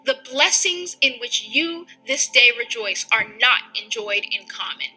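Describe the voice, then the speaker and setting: A woman reciting a historic speech in a solemn, measured, formal style. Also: a little high, expressive, pushed and bright, soft background music, low-quality recording.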